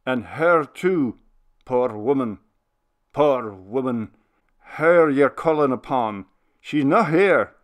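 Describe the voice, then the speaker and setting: A man's voice: on the high side, big pitch swings, slow pace, pitched above the performer's own voice. A man voicing an old minister who pleads with a ghost, his voice sobbing and commanding by turns. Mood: tender.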